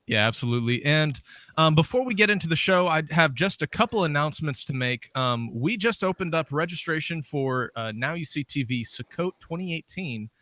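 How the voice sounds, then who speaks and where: Bright-voiced man hosting a show. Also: a little high, animated, a little fast, no room sound. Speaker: show host. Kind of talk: casual hosting with announcements.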